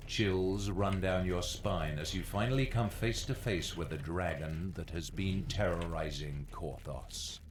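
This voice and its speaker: A man's voice: sits low, measured pace, hardly any pauses, noisy background. A man playing a dungeon master, narrating a fantasy game adventure in a dramatic, portentous storytelling manner. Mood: ominous, suspenseful.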